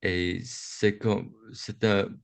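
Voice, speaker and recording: A man from the United States gives a reflective Buddhist talk to young adults over a video call, slow and halting, often restarting his sentences. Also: low voice, little pitch movement, vocal fry, clear, resonant tone, no echo. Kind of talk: reflective dharma talk on a webinar. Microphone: video call microphone.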